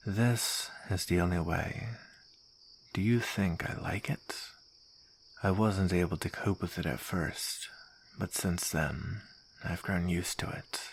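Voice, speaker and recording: A man playing a hardened, resigned mercenary with a bitter, gravelly delivery in an ASMR roleplay drama. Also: deep voice, steady tone, slight background noise, exaggerated voice. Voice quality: gravelly, husky. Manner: bitter, grim, deliberate. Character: hardened, resigned male mercenary, former comrade.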